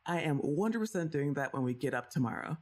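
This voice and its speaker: A woman's voice: low-pitched, animated, fast talker, darkish tone. A young woman voicing her best friend's older sister in an ASMR roleplay, chatting and teasing the listener and laughing often. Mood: playful. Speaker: voice actress.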